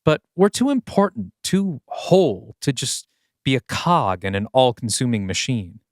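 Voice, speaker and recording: A man voicing a gentle, philosophical older male mystic in an audio play, speaking with earnest calm. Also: medium pitch, a little slow, no echo, studio quality. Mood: serene, earnest.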